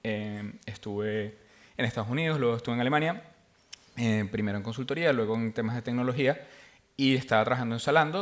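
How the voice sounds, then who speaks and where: Man from Venezuela, panel guest, low-pitched, halting conversational delivery. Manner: halting, with many fillers and restarts. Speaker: panel guest, tech executive.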